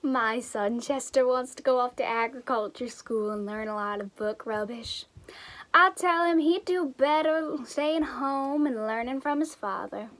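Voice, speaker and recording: A woman's voice: high voice, fairly bright tone, rough recording. A woman with an American accent playing a plain-spoken, opinionated small-town farm parent in an amateur play. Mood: folksy, opinionated. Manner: unhurried, folksy. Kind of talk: amateur stage-play acting.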